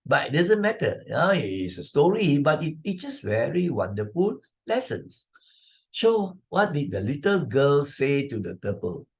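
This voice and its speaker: A man's voice: fairly high pitch, sing-song, a little slow. Malaysian guy teacher telling a kids moral story, really up and down voice, chatty, repeats stuff, lots of lah and right?. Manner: conversational, repetitive, with tag questions like "right?" and "lah". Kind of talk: narrating and explaining a moral story for children.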